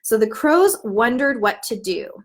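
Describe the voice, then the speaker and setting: A woman with a slightly high voice gives an instructional read-aloud lesson. Her intonation swings widely and expressively. She phrases things clearly, like a teacher showing others how to present a storybook. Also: big pitch swings, unhurried. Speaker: teacher trainer.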